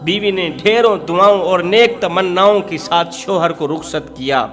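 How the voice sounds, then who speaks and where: High-pitched man preaching an emotional story. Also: moderate pace, a bit bright, soft background music. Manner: dramatic, emphatic, repeats phrases for effect. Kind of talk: emotional sermon telling a moral story.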